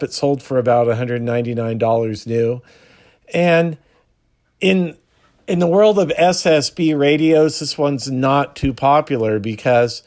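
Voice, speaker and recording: Slow-paced man, casual hobby explainer. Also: mid-range voice, a little mellow. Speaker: hobbyist video host.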